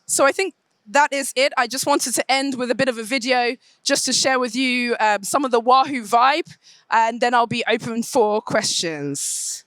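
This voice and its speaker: A woman's voice: on the high side, moderate pace, a bit bright, no echo. Brief: woman, English accent, conference speaker, filler-heavy delivery, dry mic. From United Kingdom. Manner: fluent but full of ums and restarts. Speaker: conference speaker, company head. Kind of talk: conference presentation and Q&A.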